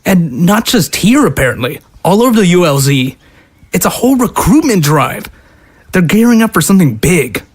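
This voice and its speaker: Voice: mid-range voice, big pitch swings, moderate pace, slightly rough. An actor voicing a hardened, blunt recruiter for a survivor militia, matter-of-fact and persuasive. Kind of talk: amateur audio drama acting.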